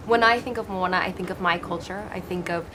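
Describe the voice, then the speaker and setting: A woman in her twenties from Hawaii, an actress answering interview questions in an earnest, expressive way with frequent restarts. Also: lowish voice, fast, noisy background. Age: 20s.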